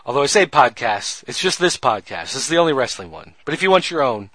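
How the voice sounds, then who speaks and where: A man's voice: mid-range voice, very animated, a little fast, sharp tone, no echo. A man hosting a wrestling podcast, reading listener emails aloud with rambling, self-mocking asides. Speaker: podcast host.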